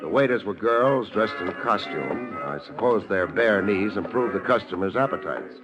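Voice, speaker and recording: An actor playing a wry, hard-boiled private detective with an American accent, in a dry, laconic 1950s radio noir style. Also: male voice, on the low side, animated, normal speed, dull audio, natural voice. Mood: weary, sardonic. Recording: vintage radio recording, music underneath.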